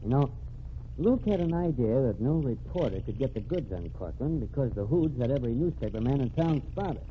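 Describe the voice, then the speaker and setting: Guy doing a cool tough ex-reporter, lively swingy delivery, muffled old radio hiss. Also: medium pitch, fairly quick, natural voice. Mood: explaining. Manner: cool, wisecracking, menacing. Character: cocky undercover ex-reporter man, cool tough. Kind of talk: 1940s radio acting.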